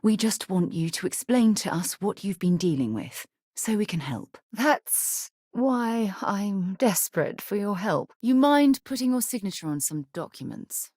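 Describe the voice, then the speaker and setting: A woman playing a principled, earnest space explorer and leader in a video game, a husky voice and articulate, formal delivery. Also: medium pitch, very animated, a little slow, few pauses, darkish tone, dry recording, clean studio sound. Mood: earnest, concerned, then firm. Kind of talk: scripted video game companion dialogue.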